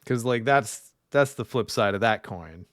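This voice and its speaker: A man hosting a podcast in casual chat, animated and playfully opinionated. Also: on the low side, unhurried, no echo, clean studio sound. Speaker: podcast host.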